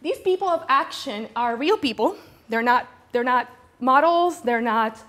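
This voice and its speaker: A woman's voice: high voice, expressive, unhurried, a bit bright. A woman from Venezuela giving a motivational business talk to an audience, speaking with enthusiastic, conversational emphasis and repeating words to drive points home. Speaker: conference speaker, brand storyteller.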